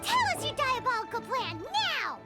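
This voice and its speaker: A woman voicing a tiny, bossy, excitable fairy companion girl in a video game, with a squeaky childlike voice. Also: moderate pace, exaggerated voice. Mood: indignant, bossy. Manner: speaks of herself in third person, exclamatory. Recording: music behind the voice. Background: game music.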